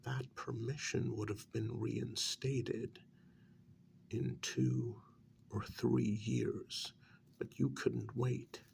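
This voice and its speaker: Man playing a slow, gravelly, soft-spoken vampire mediator. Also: medium pitch, little pitch movement, a little hiss or hum, full character voice. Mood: exasperated, chiding. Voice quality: breathy, half-whispered, gravelly. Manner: measured, halting, chiding. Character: calm, reproachful male vampire mediator addressing a prisoner. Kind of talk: soft-spoken ASMR role-play.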